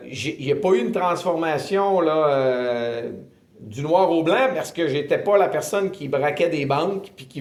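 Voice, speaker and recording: Québécois guy in his 50s telling his faith story, lots of euhs, slightly high voice, echoey room. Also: darkish tone. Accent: Québécois French. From Quebec, Canada. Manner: earnest, hesitant with frequent filler sounds. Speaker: ministry director giving testimony. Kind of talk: personal faith testimony, interviewed. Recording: roomy echo.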